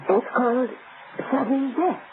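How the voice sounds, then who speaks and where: A woman's voice: high-pitched, unhurried. An actress playing a nervous, hesitant wife frightened of her husband in an old-time radio melodrama. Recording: noisy, tinny old radio recording.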